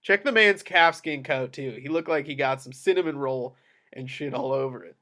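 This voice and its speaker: A man's voice: mid-range voice, moderate pace, sharp tone, lightly acted. A man playing a big, brash, bossy henchman in a movie script read, with slangy, emphatic delivery. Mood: annoyed, bossy. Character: big brash swaggering male henchman, bossy and foul-mouthed.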